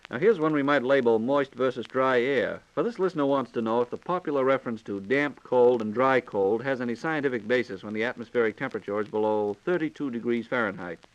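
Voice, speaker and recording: A man's voice: mid-range voice, quick pace, hardly any pauses, dull audio. An American man hosting a scripted 1940s radio program, announcing with folksy, courteous patter.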